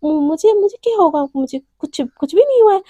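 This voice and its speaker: A shy young woman, voiced by a woman storyteller, who keeps tripping over her own words, repeating them nervously as she covers up a secret. The voice sits high and soft-toned, with very close, dry sound. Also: lively intonation, fairly quick, dry recording. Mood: flustered.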